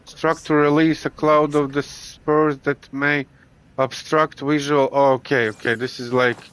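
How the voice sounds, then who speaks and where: A man from Latvia with a Latvian accent, streaming a video game and chatting casually with viewers, halting with many restarts and fillers. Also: on the high side, level tone, a bit bright, smooth, no room sound, low-quality recording. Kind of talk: casual gameplay chat with viewers. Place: home gaming setup.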